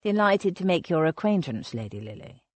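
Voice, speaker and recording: A woman reading an audiobook voices a flirtatious Regency gentleman in a lowered, smooth, courtly voice. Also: big pitch swings, normal speed, no room sound.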